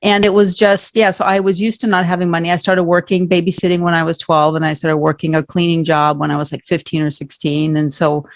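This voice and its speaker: A woman's voice: fairly low pitch, level tone, a little fast, few pauses, no echo. A woman from Norway, a guest on an interview podcast, talking candidly and rambling a little. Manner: candid, rambling, laughs often. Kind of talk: casual interview talk.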